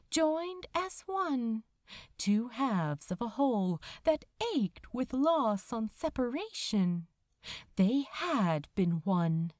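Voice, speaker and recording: A woman narrates fiction in an expressive, dramatic style. She reads very slowly, with drawn-out pauses and a melody that rises and falls widely. The recording is dry and close. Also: on the high side, dry recording. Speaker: audiobook narrator. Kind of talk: expressive scripted story narration.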